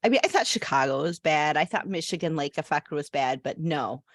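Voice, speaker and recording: A woman co-hosting a casual chat, talking in a sympathetic, expressive way. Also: low voice, a little fast, no room sound, clean studio sound. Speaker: podcast co-host.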